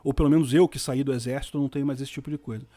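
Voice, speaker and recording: A man's voice: mid-range voice, fairly even intonation, racing, mellow. A man in his fifties from Brazil with a Rio de Janeiro accent, chatting casually and answering viewer questions on a live stream, rambling with many restarts and slangy asides. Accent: Rio de Janeiro Portuguese. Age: 50s. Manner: rambling with frequent restarts and fillers; informal, uses slang and mild swearing.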